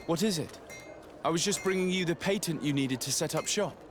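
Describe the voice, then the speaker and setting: An actor playing a polite, resolute young nobleman diplomat in a video game, speaking in a formal, measured way. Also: fairly high pitch, background noise, natural voice. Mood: courteous, composed. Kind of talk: video game cutscene acting.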